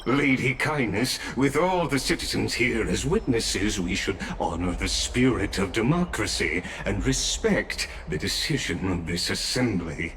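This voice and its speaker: A man playing a composed, formal official who presides over an assembly in a video game, speaking with measured courtesy. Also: fairly low pitch, animated, background noise. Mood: composed, ceremonious.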